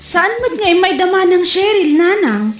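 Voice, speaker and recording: A woman's voice: very high-pitched, very animated, normal speed, over music. A woman playing a fed-up younger sister and working mother in an Ilocano comedy drama, scolding and standing her ground with an Ilocano accent. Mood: hurt, defensive. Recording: roomy echo.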